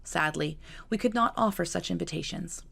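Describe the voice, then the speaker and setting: A woman voicing an affectionate, genteel Regency aunt in a formal, measured audiobook letter. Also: lowish voice, creaky, a bit bright, heavily acted.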